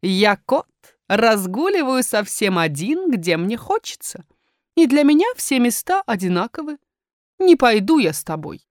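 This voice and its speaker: A woman's voice: on the high side, big pitch swings, measured pace, dry recording, clean studio sound. Lady doing a sly aloof wild cat from a fairy tale, singsong and sure of itself.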